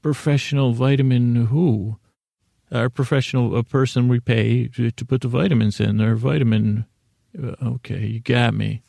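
Male podcast host, very gravelly mid-pitched voice, meandering and self-effacing comic monologue, halting delivery. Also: steady tone, mellow, no echo, studio quality. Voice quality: gravelly, creaky. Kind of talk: rambling comedic monologue.

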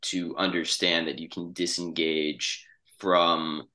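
A man in casual podcast conversation as co-host, speaking reflectively in halting phrases. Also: sits low, flat delivery, sharp tone.

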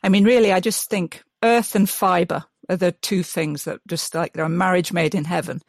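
A woman's voice: lowish voice, steady tone, normal speed, gravelly, no echo. Enthusiastic woman, dry close sound. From Yorkshire, England. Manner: enthusiastic, restarts often, many ums. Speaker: guest, mud mason. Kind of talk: casual interview chat.

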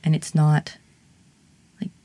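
A woman's voice: takes it slowly, dark tone, no echo. A woman who performs burlesque and singing, talking frankly in an interview and laughing easily. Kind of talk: candid interview conversation.

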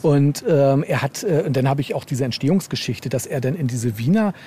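A man speaking as a novelist being interviewed, telling anecdotes casually in a halting way with repeated words and frequent question tags. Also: medium pitch, mellow, slight background noise.

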